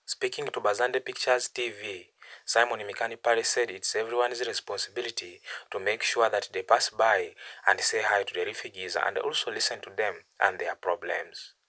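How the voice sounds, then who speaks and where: A man reading a scripted news voice-over in a measured reporting tone. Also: on the low side, fairly even intonation, bright, forceful tone.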